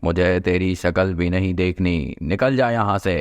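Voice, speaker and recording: A man playing an aging, stern and worried father in a dramatic, deliberate Hindi cartoon story delivery. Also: deep voice, smooth. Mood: angry, scolding. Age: older.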